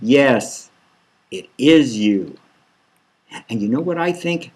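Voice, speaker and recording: Man voicing a slow, smug, probing therapist. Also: medium pitch, animated, breathy edge. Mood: self-satisfied. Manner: patronising, deliberate, insistent. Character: smug, probing male therapist, falsely soothing. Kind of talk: satirical audiobook novel reading.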